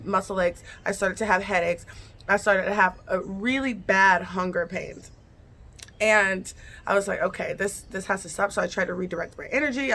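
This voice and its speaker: Woman vlogger, bright tone, casual first-person storyteller who talks directly to her audience. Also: mid-range voice, slight background noise. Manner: chatty, repeats phrases, addresses viewers directly.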